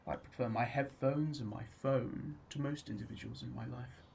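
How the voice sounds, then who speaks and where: An actor playing an anxious, solitary man in a radio drama monologue, measured and introspective. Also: medium pitch, fairly even intonation, creaky, darkish tone, a little hiss or hum. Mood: anxious, confiding.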